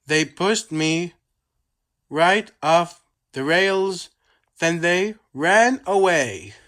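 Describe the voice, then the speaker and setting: An aggrieved male steam engine from a storybook, put on by a narrator. He groans his complaints slowly, as if nobody has suffered more. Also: mid-range voice, lively intonation, a bit bright. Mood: aggrieved, groaning.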